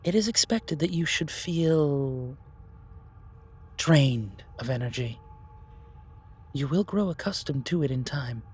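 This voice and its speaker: Man acting a slow, solemn keeper of magic. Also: fairly high pitch, animated, darkish tone, slightly rough, full character voice. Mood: reassuring. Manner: slow, formal, ceremonious. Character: calm, solemn male keeper of magic, wise and otherworldly. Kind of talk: fantasy audio roleplay acting.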